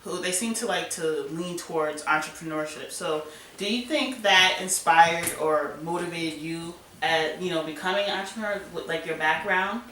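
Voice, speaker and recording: A woman's voice: on the low side, pushed and bright. An American woman hosting a casual interview, chatty and upbeat. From United States. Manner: chatty, upbeat, quick to laugh. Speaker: interview host. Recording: echoey room, distant mic, faint noise.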